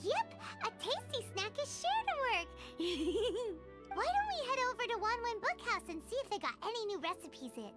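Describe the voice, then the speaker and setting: A woman's voice: very high-pitched, very animated, normal speed. A woman voicing a tiny, bubbly, childlike female fairy companion in a game, chattering in the third person. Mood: chirpy. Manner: bubbly, chatty, speaks of herself by name. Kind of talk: game cutscene dialogue. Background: game music.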